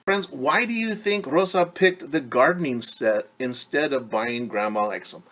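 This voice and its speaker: A man's voice: mid-range voice, animated, unhurried, a bit bright. A man teaching young children, reading a picture book aloud and patiently explaining it in simple terms with questions.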